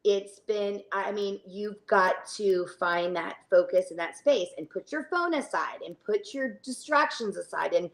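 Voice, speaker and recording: A middle-aged woman speaking casually as an interview guest about her work, chatty and halting, often trailing into tangents. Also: medium pitch. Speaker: fitness studio owner, interview guest.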